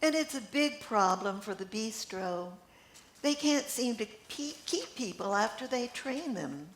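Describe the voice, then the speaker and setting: A woman's voice: on the high side, animated, a little slow. An older woman reading the part of a sociable friend catching up, in an amateur play. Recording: echoey room with faint noise.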